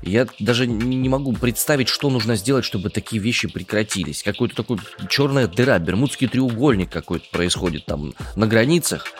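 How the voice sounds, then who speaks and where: A man's voice: on the low side, lively intonation, a little fast, hardly any pauses, soft background music. A man co-hosting a news discussion show, reading news items and thinking aloud with some hesitation.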